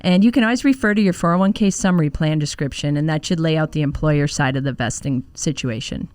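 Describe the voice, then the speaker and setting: A woman's voice: low voice, fast talker, darkish tone, no echo. A woman speaking as a financial advisor, giving conversational, practical advice.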